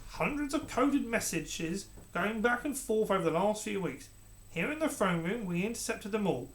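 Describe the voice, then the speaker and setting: A narrator voicing a scheming male royal adviser who speaks slowly and soothingly. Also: mid-range voice, expressive, voice pushed up, close to an everyday voice. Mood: accusing. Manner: slow, measured, falsely soothing. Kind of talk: storybook character reading.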